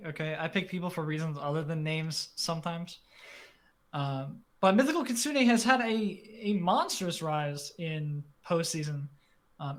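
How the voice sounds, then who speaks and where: A man's voice: a little high, fairly bright tone. Easygoing man picking favorite players. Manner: easygoing, joking. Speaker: podcast co-host. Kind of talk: casual esports commentary.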